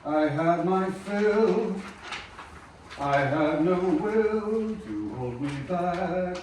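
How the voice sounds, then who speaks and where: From far off in a very echoey room comes a broken, grieving musician acted by a man. The voice is soft and rather high for a man, with very slow delivery, in a film table read. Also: animated, full character voice. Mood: yearning, singing. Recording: roomy echo, distant mic, noisy room.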